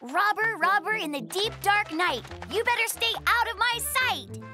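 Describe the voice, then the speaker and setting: A woman's voice: extremely high pitch, animated, normal speed. A woman voicing an earnest, sceptical young boy polar bear in a children's cartoon. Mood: playful. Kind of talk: animated children's cartoon acting. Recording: music behind the voice.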